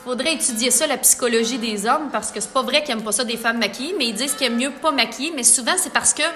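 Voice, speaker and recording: A high, bright-toned woman with a Quebec French accent talks viewers through her makeup in a live tutorial. She is chatty and playful, often reading comments aloud. Soft music plays faintly behind her. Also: quick pace. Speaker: makeup artist.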